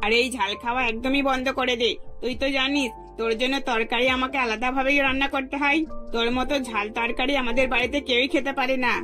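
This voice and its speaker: A woman plays an anxious middle-aged cartoon mother of a bride. Her voice is fairly high and earnest, the sort that gives advice, over faint music. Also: steady tone, fast talker, sharp tone. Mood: admonishing. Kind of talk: scripted cartoon dialogue.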